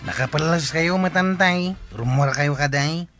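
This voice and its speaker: An actor with an Ilocano accent playing a plain-spoken, put-upon driver in a radio comedy drama. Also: male voice, a little high, measured pace, fairly bright tone, faint music behind. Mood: everyday, matter-of-fact.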